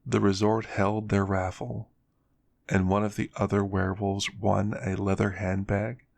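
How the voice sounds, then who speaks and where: Low, gravelly man reading a story. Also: monotone, unhurried, darkish tone, dry recording. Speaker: narrator of an ASMR roleplay story. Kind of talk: scripted ASMR story narration.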